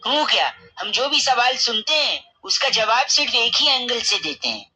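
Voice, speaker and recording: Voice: medium pitch, very animated, moderate pace, sharp tone, husky, exaggerated voice. A performer voicing a cheeky young male prank caller on the radio, mock-polite, teasing and persistent. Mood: mock-polite, pestering. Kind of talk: comic prank phone call, radio banter.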